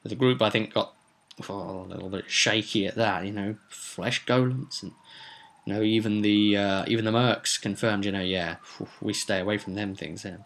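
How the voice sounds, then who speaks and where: A man with a fairly low voice speaks as a game master giving a casual recap. He rambles conversationally and leans on certain words for dramatic weight. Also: fairly even intonation, moderate pace, gravelly, fairly bright tone. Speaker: game master recapping own tabletop roleplay session. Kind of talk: casual vlog recap to viewers.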